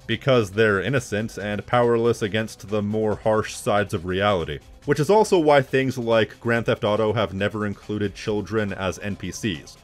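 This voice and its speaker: Conversational man doing game commentary. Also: medium pitch. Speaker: video essay host. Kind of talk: casual scripted commentary on video games.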